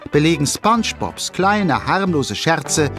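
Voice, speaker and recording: A cheerful storyteller for a children's cartoon radio play. He narrates in a fairly high, animated voice that rises and dips with relish, over clearly audible music. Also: slow pace. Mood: wry, lively. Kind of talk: animated scripted storytelling for a children's audio drama.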